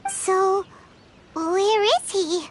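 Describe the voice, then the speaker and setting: A woman voicing a tiny, childlike fairy companion, squeaky, excitable and blunt, full of exclamations and questions. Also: very slow, a little hiss or hum, exaggerated voice. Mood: eager, curious. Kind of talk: English game dub acting.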